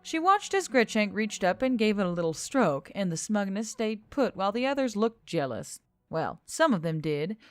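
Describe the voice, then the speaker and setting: A woman reading scripted fiction as an expressive story narrator. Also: mid-range voice, moderate pace, hardly any pauses, no room sound. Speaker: audiobook narrator.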